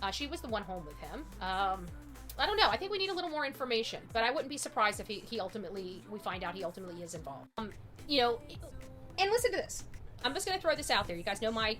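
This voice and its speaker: Female live commentary host: sharp, slightly high voice, emphatic and rambling casual delivery, opinionated. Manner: blunt, emphatic, rambling, chatty. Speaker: livestream host.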